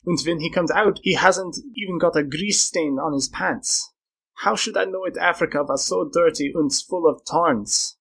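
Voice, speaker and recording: Agitated, querulous male complainer, as a man narrates him, pitched high and husky, for an adventure audiobook. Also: moderate pace, fairly bright tone, heavily acted, rough recording. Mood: indignant complaining. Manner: querulous, exclaiming.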